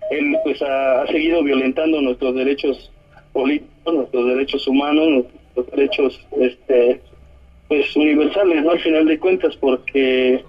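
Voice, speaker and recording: A man from Oaxaca with a southern Mexican accent gives a halting spoken testimony by telephone, often adding short tag questions. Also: mid-range voice. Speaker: detained community activist giving a statement. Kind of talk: spoken testimony by phone to a press conference. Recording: muffled, narrow-band telephone line.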